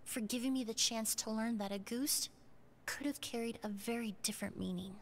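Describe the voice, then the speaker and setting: An actress playing a composed, gentle young woman perfumer in a video game, speaking in a measured, reflective and solemn way. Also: fairly high pitch, slight background noise, lightly acted. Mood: somber. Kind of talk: scripted video game dialogue. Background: background music.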